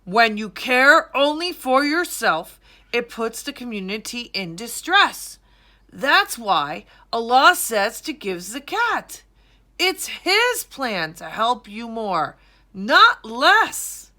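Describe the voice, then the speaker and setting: Woman putting on a loud, proclaiming noble king. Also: a little high, very animated, slow, heavily acted. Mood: solemn, commanding. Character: noble, commanding storybook king addressing his people. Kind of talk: children's storybook character voice.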